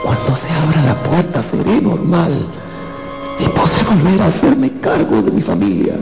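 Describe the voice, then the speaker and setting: An actor playing a despairing young man turned into an insect, muttering broodingly to himself in a gravelly voice in a radio drama. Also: on the high side, big pitch swings, moderate pace. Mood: desperate hope. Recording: roomy echo with music underneath.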